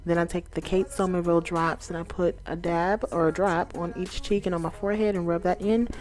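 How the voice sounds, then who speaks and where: A woman's voice: fairly low pitch, level tone, brisk, darkish tone, faint music behind. A woman beauty vlogger giving a casual, upbeat makeup tutorial, chatting straight to her viewers.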